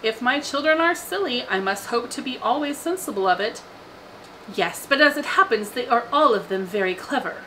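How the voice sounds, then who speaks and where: A woman voicing an excitable, boastful middle-aged mother in an audiobook, gushing and insistent. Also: on the high side, normal speed, slight background noise, pitched above the performer's own voice, rough recording. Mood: indignant. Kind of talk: audiobook character voice.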